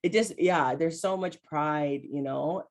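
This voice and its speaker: Host: woman, low voice, reflective and friendly, casual talk full of fillers. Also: takes it slowly. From Hawaii. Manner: warm, reflective, with many "like" and "you know" fillers. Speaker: host, mental health coach. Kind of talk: casual chat between friends.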